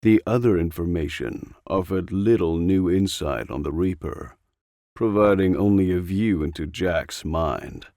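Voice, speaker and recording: Low, creaky male audiobook narrator. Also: measured pace, warm tone, no room sound, clean studio sound. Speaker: audiobook reader. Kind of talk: scripted story narration.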